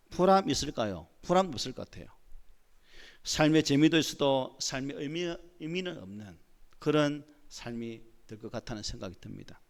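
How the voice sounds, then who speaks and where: A pastor preaching a Sunday sermon in a church with a Gyeongsang dialect, often asking the congregation rhetorical questions and building to emphatic points. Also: male voice, a little high, fairly bright tone. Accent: Gyeongsang Korean dialect. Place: church sanctuary.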